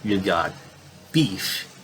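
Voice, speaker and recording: Slow-paced man with very lively pitch. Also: faint music behind. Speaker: gamer reading a visual novel aloud with commentary. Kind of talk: casual let's play commentary mixed with reading game text aloud.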